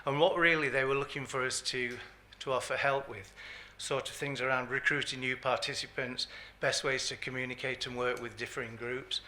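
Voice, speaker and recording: A man's voice: medium pitch, fairly even intonation, normal speed, hardly any pauses, pushed and bright. A man speaking at a conference as a patient governance advisor, hesitant, with frequent ums and uhs. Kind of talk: conference talk. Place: conference hall.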